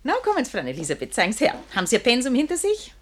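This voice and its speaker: An actress playing a brisk, bossy corset-shop owner with a southern German accent in a radio play. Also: female voice, fairly high pitch. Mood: scolding. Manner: effusive flattery turning to brisk scolding.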